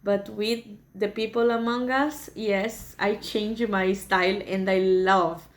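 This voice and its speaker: Brazilian woman vlogging, halting. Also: mid-range voice, steady tone. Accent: Brazilian Portuguese. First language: Portuguese. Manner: halting and hesitant, many fillers. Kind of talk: casual vlog chat.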